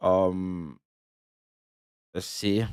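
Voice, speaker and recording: A man's voice: low-pitched, little pitch movement, extremely slow, a little mellow, clear, resonant tone, dry recording, clean studio sound. A man from Cameroon giving loose live commentary on crypto charts, with many fillers and restarts. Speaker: crypto trading streamer.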